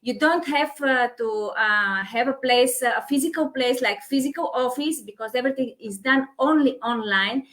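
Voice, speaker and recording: A woman with a Hungarian accent who runs an online business, talking in a halting way with many fillers and restarts. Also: high voice, fairly bright tone. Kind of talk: casual conversation.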